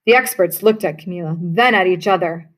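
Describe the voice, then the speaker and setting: A woman reading a children's picture book aloud in a lively, expressive way. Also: fairly low pitch, a little fast. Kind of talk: read-aloud storybook narration.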